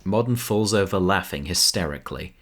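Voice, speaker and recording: A man's voice: sits low, expressive, a little fast, a bit bright. A man reading scripted story narration for an audio drama as its narrator.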